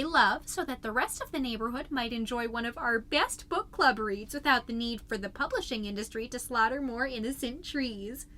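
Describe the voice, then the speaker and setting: A woman's voice: sits high, pushed and bright, a little hiss or hum. A woman voicing an earnest, mystical new-age mom with florid, solemn delivery, reading a letter in a comedy podcast. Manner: florid, portentous, formal.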